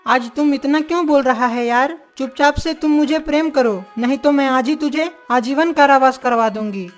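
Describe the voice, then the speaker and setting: High-pitched haughty young princess played by a woman. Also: normal speed, soft background music, lightly acted. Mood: bossy, threatening. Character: haughty, clever young princess, bossy and threatening. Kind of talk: Hindi cartoon story voice acting.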